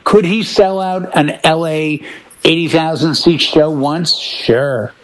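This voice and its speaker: Male podcast panelist, fairly high voice. Insistent, opinionated, conversational storyteller who slips into imagined quotes. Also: slow pace. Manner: emphatic, insistent; acts out imagined quotes as he tells stories. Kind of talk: casual opinionated discussion.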